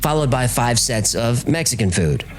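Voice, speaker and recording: A man's voice: medium pitch, lively intonation, moderate pace. A man narrating a scripted documentary in a conversational, explanatory way, posing rhetorical questions as host.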